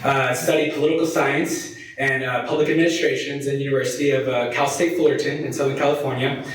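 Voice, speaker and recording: A man's voice: medium pitch, steady tone, fast talker. A young man from Colombia, a fundraising consultant, giving a friendly, joking presentation to a parish audience with many fillers. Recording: distant mic in a very echoey hall.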